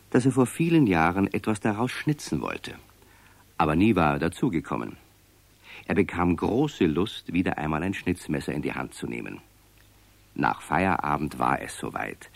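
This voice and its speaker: The storyteller of a children's radio play. His voice is low and gravelly, with expressive swings in intonation. The narration is measured and scripted, like a story read aloud. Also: no echo, heavily acted.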